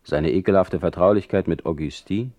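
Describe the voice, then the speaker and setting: An actor playing a proud, ironic, embattled poet in a radio play, speaking with theatrical, self-mocking eloquence. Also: male voice, low-pitched, brisk, mellow, no room sound, lightly acted. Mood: suspicious, agitated. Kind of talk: German radio play acting, monologue and dialogue.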